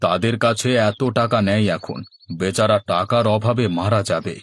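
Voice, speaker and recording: A man's voice: on the low side, moderate pace, lightly acted. A man playing a weary, scheming village husband in a cartoon, speaking in a plain, earnest manner. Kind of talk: Bangla cartoon dialogue acting.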